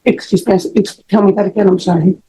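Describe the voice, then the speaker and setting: A middle-aged American woman giving testimony as a defendant in court, rambling and halting as she repeats herself. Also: mid-range voice, fairly even intonation, mellow, a little hiss or hum, poor audio. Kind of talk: spoken testimony answering questions.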